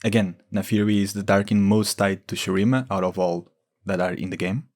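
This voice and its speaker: A man's voice: low-pitched, steady tone, a little fast, a little mellow. A man giving informal, explanatory lore commentary as a gaming video creator.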